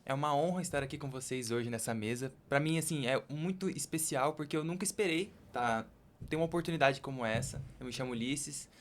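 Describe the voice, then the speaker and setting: A man's voice: mid-range voice, brisk. A man with a Brazilian accent chatting casually as a guest on a podcast. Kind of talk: casual chat. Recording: some background noise.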